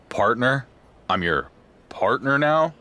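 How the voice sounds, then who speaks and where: A man's voice: medium pitch, sing-song, very slow, bright, forceful tone, exaggerated voice. A man playing a guarded, sarcastic rival champion wrestler in video game cutscene dialogue, with a dry manner. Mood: resentful, wary. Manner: dry, sardonic.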